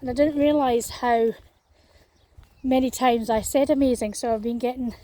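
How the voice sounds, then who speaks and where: A Scottish woman vlogging as she walks along an outdoor path in a small town. Her voice is croaky, and her talk is full of fillers and restarts. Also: on the high side, steady tone, moderate pace, warm tone. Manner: hesitant, many fillers and restarts. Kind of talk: walking vlog chat.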